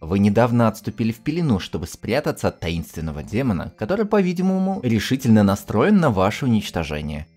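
A man's voice: fairly low pitch, big pitch swings, fast, few pauses, darkish tone, soft background music. A man reading scripted story narration in a dramatic style.

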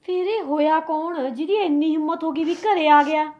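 A woman's voice: high voice, steady tone, moderate pace, darkish tone, dull audio, lightly acted. A woman playing a worried middle-aged mother who scolds and coaxes her family. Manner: scolding then coaxing, motherly. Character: worried middle-aged mother caught between son and daughter-in-law.

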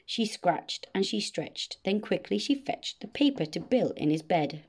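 Slow, mellow woman reading a storybook. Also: mid-range voice. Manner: measured, expressive bedtime reading. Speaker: storybook reader. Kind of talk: rhyming children's story narration.

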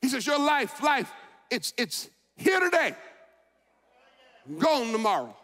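A man in his forties preaching a sermon as pastor, shifting from loud shouting to quiet reflection and repeating phrases for emphasis. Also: very high voice, very animated, takes it slowly. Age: 40s. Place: large church sanctuary. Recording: long reverb of a large church.

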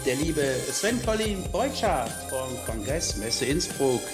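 Chatty german guy hosting a podcast, kinda high voice, echoey room with music under it. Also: big pitch swings, a little slow. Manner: jolly, playful wordplay, many fillers. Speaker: podcast host.

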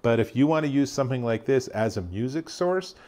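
A man's voice: mid-range voice, animated, fairly quick, a little mellow. A man in his fifties with a North American accent, giving a casual, unscripted product review in a chatty, upbeat, approving way.

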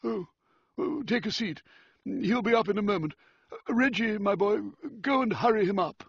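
A man's voice: sits high, moderate pace, gravelly, slightly rough, lo-fi sound, pitched above the performer's own voice, exaggerated voice. A man voicing a fussy, flustered old yacht owner who stammers over his words.

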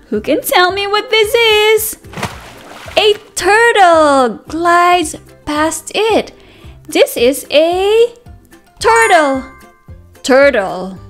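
A woman reads a picture book aloud to toddlers as a teacher, in a sing-song, exaggerated manner, repeating words and asking questions. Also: very high voice. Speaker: children's read-aloud teacher. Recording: voice over background music. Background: music.

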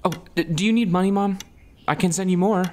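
A man acting in a musical audio drama as a driven stage magician and devoted son, speaking in a halting, placating way. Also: high-pitched, slight background noise, lightly acted. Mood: placating, hurried.

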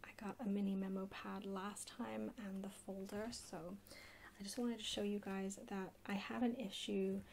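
Casting: female ASMR haul host, soft-spoken and half-whispering, mellow and creaky, casual delivery. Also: medium pitch, level tone, moderate pace, slight background noise. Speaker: video host. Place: indoors at a table.

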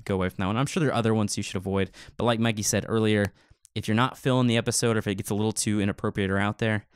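A man's voice: on the low side, steady tone, rapid-fire, clean tone, no echo. A man co-hosting a podcast in casual chat, telling stories in an informal, filler-heavy way. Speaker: podcast co-host.

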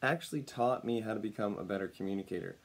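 A man in his thirties speaks to a desk webcam from a room at home, his voice still a little raspy after losing it. He talks in an upbeat, smiling way and chuckles often. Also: fairly low pitch, fast. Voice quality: slightly raspy. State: recovering from lost voice. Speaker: personal branding speaker. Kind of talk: casual talk to camera. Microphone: webcam at desk distance.

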